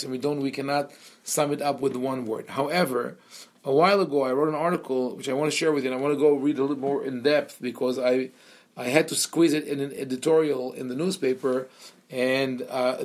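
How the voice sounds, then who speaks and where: Brief: man from Israel, rabbi teaching a seminar, mid-pitched, stop-start delivery full of fillers. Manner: hesitant, many fillers and restarts. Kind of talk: lecture.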